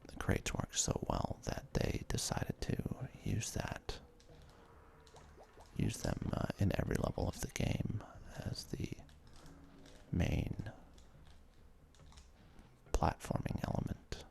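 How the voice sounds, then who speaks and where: A man giving whispered ASMR commentary as a gaming video host, halting and hesitant, with casual asides as he plays. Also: low-pitched, huge pitch swings, vocal fry, darkish tone.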